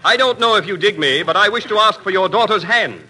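A man's voice: high voice, expressive, fairly quick, sharp tone, exaggerated voice. A man playing a dashing young heir who courts a girl in rhyming jive slang, in a 1940s radio comedy. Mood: playful. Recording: noisy 1940s radio recording.

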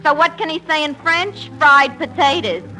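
A woman's voice: high-pitched, moderate pace, poor audio. Wisecracking woman on old-time radio. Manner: wisecracking, breaks into mock-French singing. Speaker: comic singer. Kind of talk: 1940s radio comedy monologue. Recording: old radio recording with music.

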